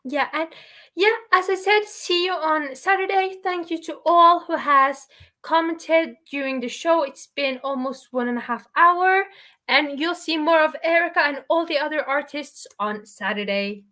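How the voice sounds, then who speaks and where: Young Norwegian woman, livestream host, high-pitched, upbeat and encouraging. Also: pushed and bright. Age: young adult. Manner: bubbly and encouraging, quick to laugh. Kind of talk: casual livestream interview.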